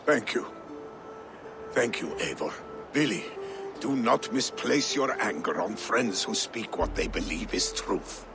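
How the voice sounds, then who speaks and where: A grave old Viking man in a game drama, fatherly and resigned. He speaks slowly in a lowish voice, with strong music underneath. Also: expressive. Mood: solemn, resigned. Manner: grave, deliberate. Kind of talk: dramatic video game acting. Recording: prominent music behind the voice.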